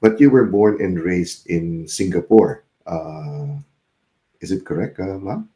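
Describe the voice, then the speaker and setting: Male podcast host, low and mellow, easygoing code-switching chat. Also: slow pace. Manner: mixes English and Filipino, relaxed, chuckling, many fillers. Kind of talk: casual interview chat. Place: New Zealand.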